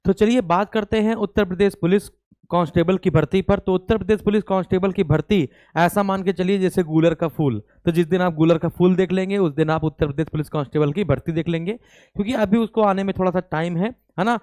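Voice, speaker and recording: A man's voice: high-pitched, fairly quick, a little mellow, dry recording. A man giving an informal exam news update as a coaching teacher, explaining with repeated phrases and check-in tags.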